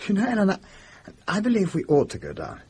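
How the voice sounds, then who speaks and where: An excitable young upper-class Englishman in a radio play. His RP voice leaps widely in pitch, with a breathy edge and hesitant, exclamatory delivery. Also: fast, heavily acted. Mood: uneasy, hesitant. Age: young adult. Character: excitable, self-interested young English gentleman. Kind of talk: radio drama acting.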